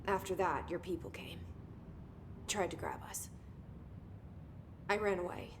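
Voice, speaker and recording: A woman's voice: on the low side, sing-song, unhurried, noisy background. An actress playing an earnest, determined young woman in a video game, sincere and understated in delivery. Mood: haunted, earnest. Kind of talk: video game cutscene acting.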